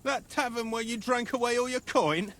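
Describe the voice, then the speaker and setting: A man's voice: very high voice, moderate pace, a bit bright. An actor playing a crude, teasing soldier who needles his comrades with bawdy jokes. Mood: mocking. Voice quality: bawdy, mocking.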